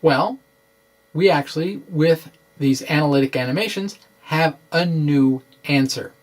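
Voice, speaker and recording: A middle-aged man giving a dramatic reading of a science explainer, with deliberate, halting, theatrical phrasing, close to the microphone in a small room. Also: a little high. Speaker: science blogger presenting. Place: small indoor room with bookshelves. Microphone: microphone close in front on a stand.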